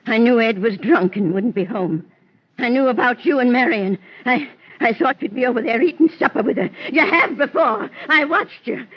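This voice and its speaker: An actress playing a bitter, betrayed wife confessing in halting, impassioned old-time radio melodrama. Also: female voice, fairly high pitch, expressive, husky. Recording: muffled old radio recording.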